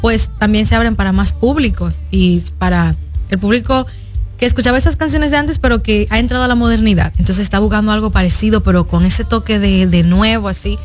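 A woman's voice: medium pitch, a little fast, soft background music. A woman in her twenties with a Dominican Spanish accent, speaking earnestly and reflectively as an interview guest, hesitating between phrases. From Dominican Republic. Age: 20s. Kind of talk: conversational radio interview.

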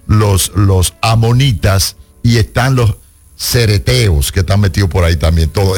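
A man hosting a radio call-in show as a pastor, teaching on the Bible and stressing points slowly. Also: lowish voice. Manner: emphatic, slows down to stress points.

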